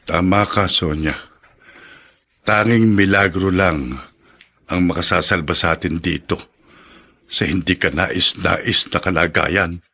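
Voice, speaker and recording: An actor playing a pessimistic hospital patient who frets over money, in a radio drama. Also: male voice, deep voice, steady tone, a little slow, pauses often, sharp tone, heavily acted. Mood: worried, bitter.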